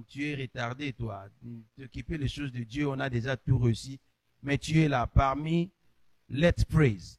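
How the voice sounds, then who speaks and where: A man's voice: medium pitch, darkish tone, no echo. Man hosting, dry sound. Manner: affirming interjections, amen and hmm. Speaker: host.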